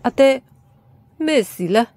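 A woman's voice: high voice, lively intonation, slow pace, darkish tone, exaggerated voice. A woman voicing an earnest, dutiful young emperor with a firm manner in an amateur radio drama, on a noisy recording. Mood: earnest, reassuring. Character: earnest, steady ancient emperor, dutiful son.

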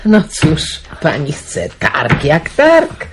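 Radio drama: homely, careworn aunt in middle age, lowish pitch, clearly noisy recording with clicks. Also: female voice, huge pitch swings, slow pace, exaggerated voice. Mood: gossipy. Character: careworn, kindly middle-aged aunt keeping a small farm. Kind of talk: 1990s Polish radio play acting.

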